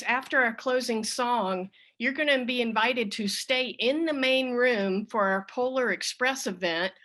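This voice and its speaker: A woman's voice: a little high, fairly bright tone. A woman leading a church service, giving informal spoken announcements to her congregation.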